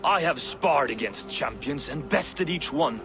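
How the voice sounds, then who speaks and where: Casting: jovial, boastful Viking verse-battler in a game; theatrical, swooping, unhurried delivery. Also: male voice, on the high side, lively intonation, music in the background. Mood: jovial, challenging. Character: boastful jovial Viking flyting poet, a good sport. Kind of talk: video game voice acting.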